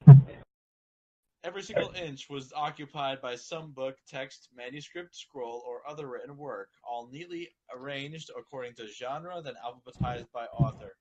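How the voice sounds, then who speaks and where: A man with an average-pitched voice reads fan fiction aloud with friends. He is halting and stumbles over words, slipping between narration and casual, unpolished chat. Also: mellow, slight background noise, poor audio. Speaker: amateur fan fiction reader. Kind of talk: group story reading with casual chat.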